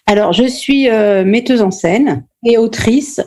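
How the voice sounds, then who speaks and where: A middle-aged woman from Normandy in France, a playwright and stage director answering interview questions thoughtfully, with many pauses and restarts. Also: fairly high pitch, a little mellow.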